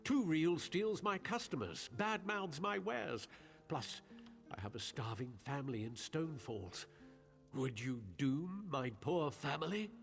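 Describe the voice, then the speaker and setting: An actor playing a scheming, haughty silk merchant in a video game, with a theatrical, wheedling and spiteful delivery. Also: male voice, on the high side, lively intonation, measured pace, creaky. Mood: wheedling, pleading. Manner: theatrical, pleading turning to sneering contempt. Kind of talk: dramatic video game voice acting. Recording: game audio with faint music.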